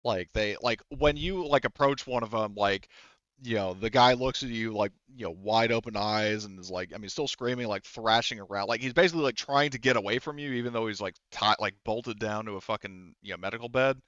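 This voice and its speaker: A man with a low, smooth voice narrates a tabletop roleplaying game as game master. He explains things casually and haltingly, in a close, dry but slightly dull recording. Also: fairly bright tone, dry recording.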